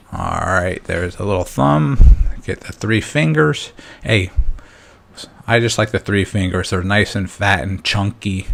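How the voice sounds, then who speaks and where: A man's voice: sits low, creaky, fairly bright tone. A man hosting a casual step-by-step drawing tutorial, talking informally and encouragingly as he thinks aloud while he works. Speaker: drawing instructor and host.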